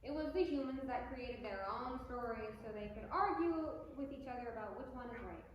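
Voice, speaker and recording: Death, stern mentor reaper played by a woman, high voice, curt and impatient, amateur drama, very echoey room. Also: expressive, normal speed, lo-fi sound, lightly acted. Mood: stern, explanatory. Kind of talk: amateur theatre read performance. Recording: echoey room with faint noise.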